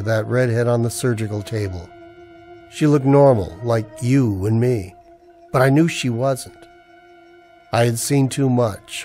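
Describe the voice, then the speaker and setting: Male actor as haunted husband in a noir radio drama; mid-pitched, brooding, clipped hardboiled narration. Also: darkish tone, natural voice. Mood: tense, brooding. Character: Peter, haunted married man narrating noir misfortune.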